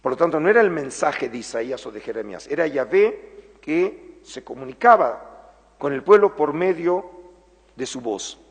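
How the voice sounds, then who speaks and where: Middle-aged Argentine lecturer, husky tone, earnest and measured, stretches key words. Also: male voice, fairly high pitch, animated. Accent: Argentine Spanish. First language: Spanish. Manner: measured, earnest, slows down for emphasis. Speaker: lecturer, museum curator. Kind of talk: public lecture. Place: large former synagogue hall. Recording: long hall reverb.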